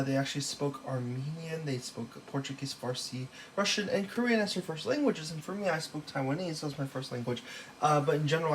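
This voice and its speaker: A young man giving a recorded class presentation about his slides, casual and halting, with many fillers and restarts. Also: a little high, vocal fry, slight background noise, rough recording. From Los Angeles, California. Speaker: student presenter.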